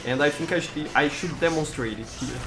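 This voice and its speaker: A man's voice: a little high, fairly quick, over music, rough recording. A man with a Brazilian accent, a native Portuguese speaker, chatting casually as a game streamer and often repeating words as he searches for them. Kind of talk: casual gameplay commentary, answering chat.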